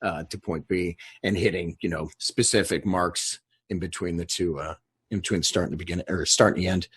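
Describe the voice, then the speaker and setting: A man hosting a casual video call discussion after a table read, rambling and halting as he talks. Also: deep voice, steady tone, fairly bright tone, breathy edge. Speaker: host and writer of a table read. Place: remote group video call.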